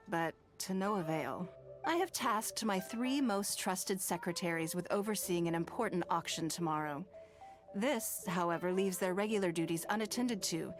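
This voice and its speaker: An actress playing a poised, courteous woman leader in a video game, speaking formally with measured, eloquent phrasing and dry wit. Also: on the low side, natural voice. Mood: composed, businesslike. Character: poised, commanding, courteous wealthy woman leader, dryly teasing. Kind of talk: scripted video game dialogue. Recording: music under the voice.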